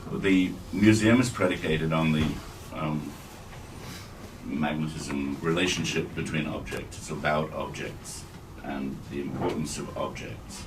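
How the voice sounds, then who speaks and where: A man putting a question at a live event, phrasing it deliberately and haltingly. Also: a bass voice. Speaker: participant putting a question to the author. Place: indoor bookstore event. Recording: distant mic, clear background noise.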